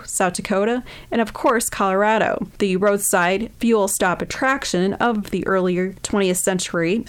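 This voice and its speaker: A woman reading local news and the weather on a community radio station in an even, measured way. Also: mid-range voice. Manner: even, measured newsreader delivery.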